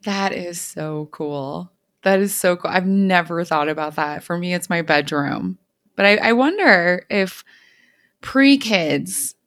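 Lady hosting a podcast, bit of vocal fry, super enthusiastic and chatty, really dry sound. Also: mid-range voice, no room sound. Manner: gushing, emphatic, informal. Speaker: podcast host. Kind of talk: enthusiastic conversational interview.

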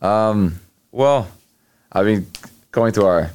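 A man's voice: lowish voice, darkish tone, clear, resonant tone. A young man answering interview questions casually, with lots of fillers and restarts. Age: young adult. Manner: halting, many fillers and restarts. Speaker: guest, college athlete.

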